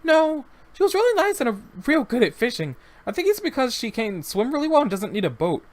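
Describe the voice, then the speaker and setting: A man's voice: extremely high pitch, big pitch swings, a little fast, voice pushed up. A man voicing an earnest young boy who talks eagerly and rambles a bit about whales and a new friend. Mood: pleading.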